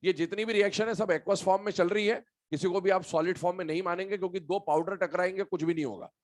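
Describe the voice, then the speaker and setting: A man's voice: high-pitched, fast talker. A man giving an informal lecture as a chemistry teacher, explaining step by step and addressing students familiarly. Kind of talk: informal classroom-style lecture.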